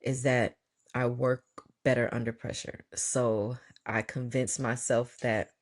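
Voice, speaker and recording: A man's voice: medium pitch, flat delivery, slow pace. A man hosting a podcast, chatting casually and laughing at himself. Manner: chatty, self-deprecating, laughs a lot. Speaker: podcast host. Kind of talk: casual interview chat.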